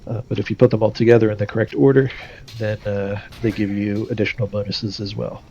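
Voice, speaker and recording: A man's voice: on the low side, level tone, fast talker, warm tone, muffled recording. A man with a stuffy, nasal voice from a cold, casually explaining his moves while streaming a game and thinking aloud with many fillers. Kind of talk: casual gameplay commentary.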